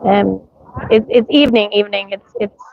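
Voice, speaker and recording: A woman's voice: medium pitch, fairly even intonation, moderate pace, creaky, dull audio. A woman with a mixed accent and a scratchy voice from coughing, livestreaming outdoors at a street parade and rambling with many ums. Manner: rambling, many ums, greets viewers by name. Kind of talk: casual livestream chat.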